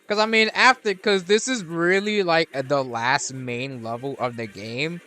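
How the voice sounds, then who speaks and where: A man's voice: a little high, fairly even intonation, a little slow, sharp tone, soft background music. A man hosting a gaming channel, rambling through casual gameplay commentary with lots of restarts and fillers. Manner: rambling, many restarts and fillers, laid-back.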